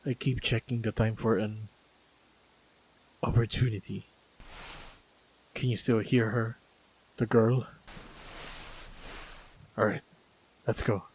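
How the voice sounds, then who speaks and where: A man playing a calm, modest human swordsman and loyal companion in a fantasy action audio roleplay, speaking in measured, low-key short phrases. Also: slight background noise, poor audio. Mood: calm, reflective.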